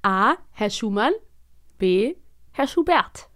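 A woman's voice: mid-range voice, animated, slow, a bit bright. A woman co-hosting a podcast, chatting casually with playful, teasing quiz banter. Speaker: podcast co-host.

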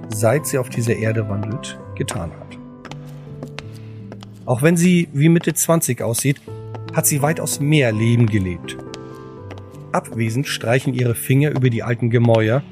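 A man with a mid-pitched voice narrates an audio drama from a script. His delivery is measured and solemn, with music playing behind him.

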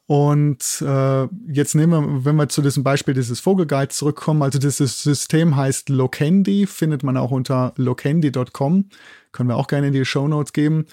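A man's voice: fairly high pitch, fairly even intonation, moderate pace, warm tone, no echo, clean studio sound. A man from Germany with a German accent, explaining things as an expert guest, with hesitant phrasing and frequent tag questions. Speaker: guest, university zoologist. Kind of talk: explanatory interview talk.

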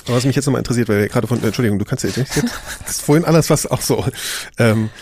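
A man's voice: medium pitch, rapid-fire, noisy background. A man chatting casually as a discussion host, thinking aloud in an informal, rambling way. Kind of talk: casual interview chat.

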